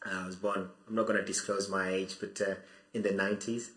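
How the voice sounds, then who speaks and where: Low-voiced young man with a bright edge. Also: flat delivery, brisk, roomy sound. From Zimbabwe. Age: 20s. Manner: thoughtful, hesitant with many restarts. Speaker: guest. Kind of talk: interview, telling his life story.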